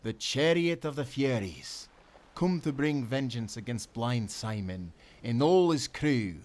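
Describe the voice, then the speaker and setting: A man's voice: mid-range voice, lively intonation, measured pace, a little mellow, natural voice. A man playing a hearty, loyal sailor in an audio adventure, with an earnest, folksy nautical way of talking. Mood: encouraging. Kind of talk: audio roleplay acting.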